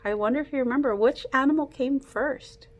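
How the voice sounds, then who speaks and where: A woman reading a picture book aloud to children like a teacher, in an animated, playful way, coaxing young listeners to join in. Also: high voice, fairly quick.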